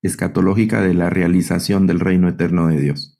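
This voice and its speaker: A man's voice: low-pitched, flat delivery, brisk, creaky, mellow. A man with a Guatemalan accent teaching an online Bible class as a professor, explaining didactically and often checking in with short tag questions.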